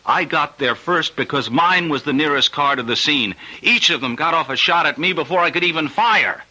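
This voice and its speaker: A man's voice: a little high, lively intonation, normal speed, pushed and bright, heavily acted, low-quality recording. An actor playing an anxious, stubborn policeman husband in an old-time radio drama, speaking earnestly and insistently. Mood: urgent, insistent. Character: anxious, stubborn policeman husband forbidding his wife to wish.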